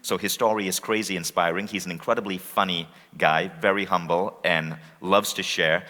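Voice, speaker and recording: A man's voice: sits low, fairly even intonation, normal speed, sharp tone. A man hosting on stage, introducing and interviewing a guest with eager enthusiasm. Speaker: event host and interviewer. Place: large event hall on stage. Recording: long hall reverb.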